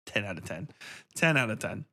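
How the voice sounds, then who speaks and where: A man's voice: on the low side, sing-song, moderate pace, pushed and bright. A man hosting a podcast gives a casual, profane film review in a sarcastic, irreverent, rambling way, leaning hard on phrases for emphasis.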